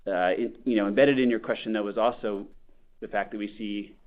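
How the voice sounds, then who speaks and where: A man speaks in a measured, corporate way, pausing on "uh" and "um" as he answers an analyst on an earnings call. His voice sits a little low. It comes through a thin, phone-like conference line. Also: steady tone. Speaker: company executive.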